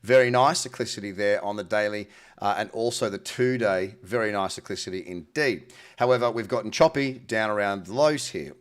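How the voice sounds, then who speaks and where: A man's voice: lowish voice, fairly quick. A man hosts a casual market rundown in an informal, colloquial way. His voice is a little nasal from a head cold, and he talks like a trader chatting with mates. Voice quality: slightly nasal. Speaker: host, crypto trading analyst. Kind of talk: casual market commentary to camera.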